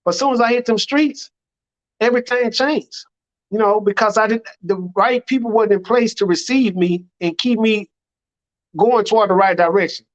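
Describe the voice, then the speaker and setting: A man's voice: sits high, normal speed. An American man speaking as an imam, giving an earnest, plainspoken religious address that leans on repeated phrases. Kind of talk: sermon, religious public address. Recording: dull, lo-fi recording.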